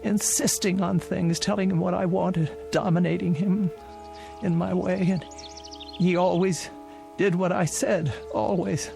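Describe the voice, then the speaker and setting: An actress playing an insistent, lonely elderly woman in a vintage television drama, speaking in slow, halting phrases. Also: lowish voice, fairly even intonation, creaky. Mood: anguished, wistful. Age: older. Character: imperious, lonely elderly spinster haunted by lost fiancé. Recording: vintage TV soundtrack, faint music behind.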